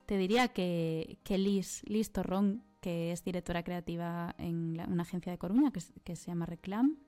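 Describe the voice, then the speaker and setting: A woman from Galicia, a marketing creative, talking as a guest in a casual interview, thoughtful and halting, laughing easily. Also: fairly low pitch, mellow, soft background music. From Galicia, Spain.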